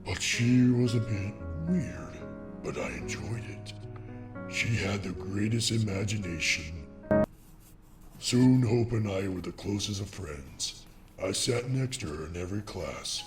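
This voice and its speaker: A man's voice: lowish voice, animated, a little slow. A man playing a grown pony king of monsters who recounts his lonely childhood in a solemn, wistful storytelling style. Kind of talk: dramatic first-person storytelling. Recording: music under the voice. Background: music.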